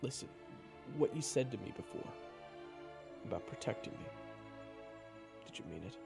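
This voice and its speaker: A man's voice: medium pitch, lively intonation, normal speed, gravelly, music in the background. A man playing a sardonic adventurer who narrates his own tale in a casual audio drama style, in a roomy, echoing recording. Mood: earnest, wary. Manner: wry, casual. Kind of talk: first-person dramatic narration and acting.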